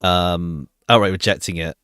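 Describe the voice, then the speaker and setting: A man's voice: sits low, fairly even intonation, normal speed, a bit bright, smooth, no echo, studio quality. A man giving casual commentary as he plays a visual novel, rambling and repeating phrases as he thinks aloud. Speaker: streamer playing a visual novel. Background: game music.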